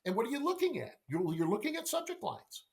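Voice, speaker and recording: A man's voice: extremely high pitch, very animated, quick pace, creaky. Middle aged guy on a podcast, really swingy voice, punches points, repeats himself, keeps asking right?. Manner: emphatic, repeats phrases, rhetorical questions and tag "right?". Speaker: sales coach, podcast guest. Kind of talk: conversational podcast interview.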